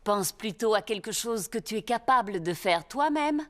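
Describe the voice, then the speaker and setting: A woman's voice: mid-range voice, lively intonation, moderate pace, a bit bright, close to an everyday voice. A woman voicing an encouraging gazelle nursery teacher in a French-dubbed preschool cartoon.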